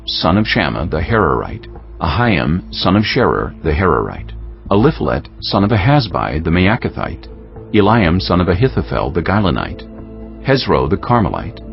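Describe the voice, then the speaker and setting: Male narrator, deep voice, solemn measured storytelling, lively intonation, scripture. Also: gravelly, highs cut off.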